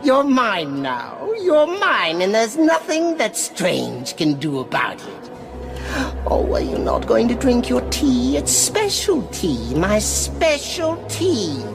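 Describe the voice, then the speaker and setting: A woman's voice: fairly high pitch, wildly swooping pitch, slow pace, exaggerated voice. A woman voicing a deranged, whimsical hypnotist villain with a creepily possessive, singsong, condescending manner. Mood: creepily playful. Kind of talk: villain character acting. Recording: background music.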